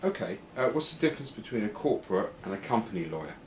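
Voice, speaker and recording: Low-voiced man interviewing in a roomy space. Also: big pitch swings, quick pace. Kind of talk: interview questions. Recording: roomy echo, faint background noise.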